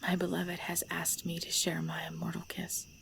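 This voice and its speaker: A woman playing an ancient, composed vampire in a supernatural ASMR drama, speaking in measured, formal, deliberate phrases. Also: fairly low pitch, creaky. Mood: solemn, wistful. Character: ancient female vampire, composed, knowing, darkly playful. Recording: steady background noise with chirping crickets.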